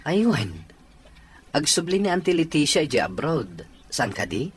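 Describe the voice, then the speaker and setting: A man's voice: fairly high pitch, sing-song, moderate pace, a little hiss or hum. An actor with an Ilocano accent playing an attentive young husband and new father in a radio comedy drama. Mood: polite, cheerful.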